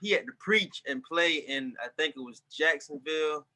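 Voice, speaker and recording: A man's voice: on the high side, normal speed, bright, forceful tone. A man of about twenty, a guest musician, recalling a memory hesitantly in a casual interview. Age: 20s.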